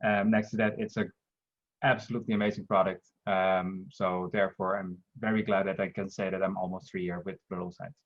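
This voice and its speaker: A man's voice: low-pitched, level tone, brisk. A man with a Dutch accent introducing himself as a solutions architect on a video call, hesitant and full of fillers. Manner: hesitant, many fillers and repeated words. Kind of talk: webinar self-introduction. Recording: dull lo-fi call audio.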